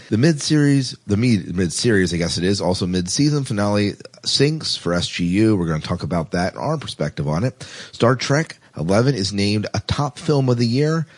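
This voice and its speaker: A man's voice: fairly low pitch, no room sound. A man hosting a podcast in a casual, playful, jokey chat style. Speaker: podcast host.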